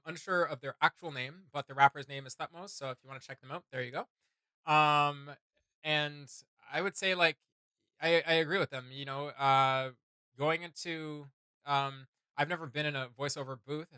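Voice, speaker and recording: Bright-voiced man, rambling and enthusiastic. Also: medium pitch, dry recording. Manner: enthusiastic, rambling, thinking aloud. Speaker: reaction show host. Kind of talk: casual chat.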